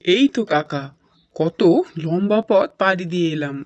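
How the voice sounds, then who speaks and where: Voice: medium pitch, normal speed, no room sound, close to an everyday voice. A voice actor playing a polite, earnest grown son in a cartoon. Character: grown son returning home, earnest man. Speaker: cartoon character voice.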